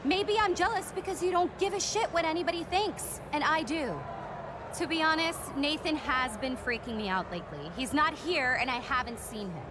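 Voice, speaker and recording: A woman playing a proud, popular teenage girl in a video game, cool and self-assured with a guarded softer side. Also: sits high, normal speed, noisy background, close to an everyday voice. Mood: guarded, softening. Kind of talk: video game cutscene dialogue.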